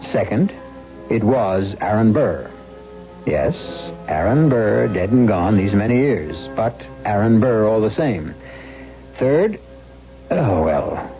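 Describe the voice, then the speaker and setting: Male radio host, low swooping voice, slow, dramatic, music behind, muffled old recording. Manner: dramatic, deliberate phrasing. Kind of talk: 1970s radio mystery narration.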